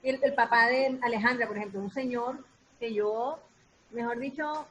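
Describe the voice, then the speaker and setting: A woman's voice: on the high side, normal speed. A woman chatting informally in a panel, often restarting her phrases. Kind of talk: casual panel discussion. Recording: noisy room with ambience.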